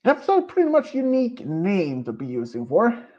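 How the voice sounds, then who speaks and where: A man streaming who speaks English with a Swedish accent as a non-native speaker, talking casually in a hesitant, rambling way with many pauses and fillers. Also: high-pitched, huge pitch swings, dark tone. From Sweden. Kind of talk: casual live-stream chat. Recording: dull, lo-fi streaming mic.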